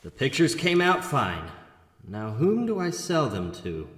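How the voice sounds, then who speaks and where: A man's voice: mid-range voice, unhurried. A man voicing a plucky, wisecracking young superhero who talks to himself in a comic book dramatic reading. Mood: confident, excited. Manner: animated, talking to himself. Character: young wisecracking superhero photographer, self-critical but plucky. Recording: echoey, long reverb, music underneath.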